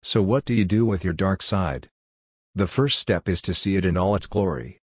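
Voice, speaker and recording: An older man from Hungary, whose first language is Hungarian, speaks in a deep, breathy-edged voice. He talks in a blunt, lecturing monologue. The sound is close and dry, with no room around it. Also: moderate pace, no room sound. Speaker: workshop leader. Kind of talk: scripted monologue.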